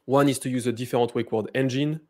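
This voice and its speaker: A man with a French accent giving a live software demo, hesitant, with many fillers and restarts. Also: mid-range voice, steady tone, fast. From France. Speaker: presenter.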